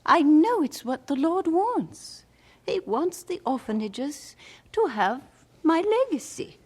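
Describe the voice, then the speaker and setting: An actress playing a wealthy elderly widow in an audio drama, genteel and deliberate, devout and quietly amused by the young. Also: female voice, high-pitched, big pitch swings, full character voice. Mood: earnest, knowing. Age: older. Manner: genteel, deliberate, addresses others as young people.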